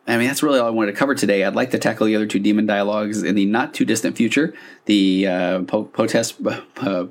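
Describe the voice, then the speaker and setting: A man hosting a podcast, talking in a casual, explanatory, conversational monologue. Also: lowish voice. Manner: conversational, acting out example dialogue lines. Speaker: podcast host.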